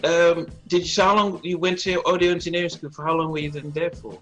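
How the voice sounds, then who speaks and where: A man hosting a casual interview, friendly, laughing easily, with halting restarts in his questions. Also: fairly high pitch, flat delivery, soft background music, rough recording. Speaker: interview host.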